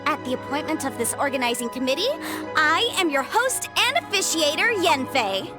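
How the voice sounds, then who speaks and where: A woman's voice: high voice, big pitch swings, normal speed, music in the background. A woman playing a young female official in a video game, announcing a contest in a formal, showy, polished way. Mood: formal, proud. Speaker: host and officiator.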